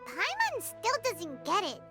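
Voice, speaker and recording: A woman voicing a small, childlike companion character in a video game, excitable, blunt and easily confused. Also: very high voice, slow, heavily acted. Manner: refers to herself in third person, blunt, excitable. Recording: loud game music behind.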